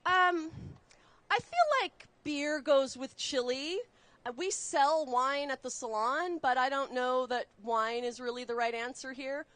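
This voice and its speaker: A woman chef raised in Texas, explaining a recipe step by step while cooking on a live show, chatty and quick to laugh. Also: sits high, a bit bright, no room sound. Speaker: guest chef. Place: studio kitchen.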